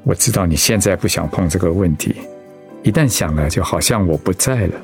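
An actor with a Taiwanese accent playing a gentle, ailing pastor and husband in a radio drama, earnest and reflective. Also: male voice, sits low, lively intonation, brisk, soft background music. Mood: tender, reflective. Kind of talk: Mandarin radio drama acting.

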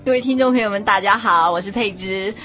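A woman radio host speaks in at an ordinary middle pitch. She presents and puts questions to guests in an interview style. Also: fast, pushed and bright, faint music behind.

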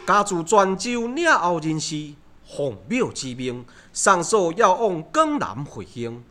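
A man's voice: high voice, big pitch swings, takes it slowly, sharp tone, heavily acted. A man playing a refined, courteous young scholar, declaiming formally in Taiwanese opera style. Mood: stately. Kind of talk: Taiwanese opera recitation and dialogue.

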